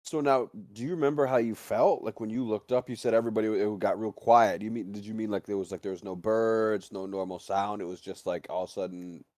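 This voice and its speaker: A man's voice: on the low side, fairly even intonation, fast talker, few pauses, a little mellow, no echo, clean studio sound. A man interviewing casually on a livestream, asking probing questions and rephrasing them in a rambling way.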